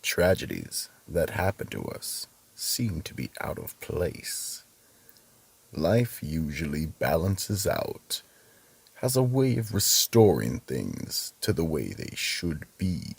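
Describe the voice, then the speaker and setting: A man with a gravelly, husky voice giving deliberate, solemn dramatic narration for a mystery radio play. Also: a bass voice, very animated.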